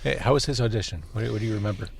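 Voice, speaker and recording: A man hosting a podcast from a parked car, asking playful, animated questions. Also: fairly low pitch, racing, creaky, slight background noise. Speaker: podcast host. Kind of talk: casual interview chat.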